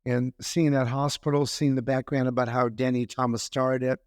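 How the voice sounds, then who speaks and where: An easygoing man co-hosting a podcast, rambling a little through his stories with fillers. His voice sits at a middle pitch and sounds close and dry. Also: little pitch movement, moderate pace, a little mellow, dry recording. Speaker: podcast co-host. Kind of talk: casual chat.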